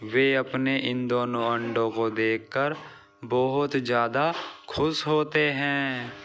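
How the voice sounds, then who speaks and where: A man's voice: medium pitch, sharp tone. A man narrating a scripted children's moral story as a storyteller.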